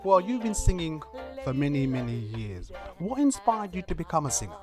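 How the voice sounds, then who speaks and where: A man hosting a podcast, giving formal, measured introductions and asking interview questions. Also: a little high, big pitch swings, over music. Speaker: podcast host.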